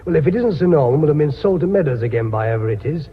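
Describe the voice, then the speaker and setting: An excitable, doubting British businessman in a radio play, very raspy and quick, with a highish voice. He argues insistently, in a muffled recording. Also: long reverb tail. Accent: British English. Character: doubting, excitable board colleague of a company. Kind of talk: British radio drama acting.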